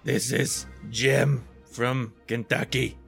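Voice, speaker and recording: A man putting on a voice of a man from Kentucky who speaks with a New York accent, as a slow mock announcer. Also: mid-range voice, level tone, gravelly, fairly bright tone, soft background music, heavily acted. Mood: joking.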